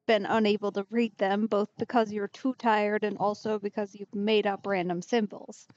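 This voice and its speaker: A woman streamer giving casual game commentary, halting and musing aloud. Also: medium pitch, dry recording. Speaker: let's play streamer. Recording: muffled, dry, faint game music behind.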